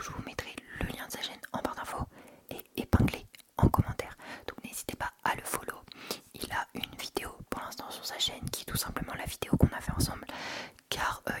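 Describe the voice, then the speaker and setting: A woman speaking in an unvoiced, breathy whisper, chatting informally and intimately to viewers as an ASMR host, close to a sensitive microphone. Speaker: ASMR video creator and host. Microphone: sensitive Tascam recorder without windscreen, close up.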